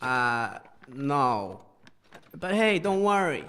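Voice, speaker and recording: A man playing an eccentric, friendly junk-collecting wanderer in a comic audio drama, affable and quietly proud as he rambles. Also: medium pitch, very animated, extremely slow, smooth, full character voice. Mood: helpful, chatty.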